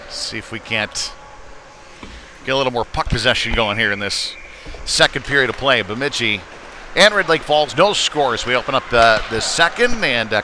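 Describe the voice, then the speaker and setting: A man doing live play-by-play hockey commentary in a folksy, conversational way. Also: medium pitch. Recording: noisy rink with clear background noise.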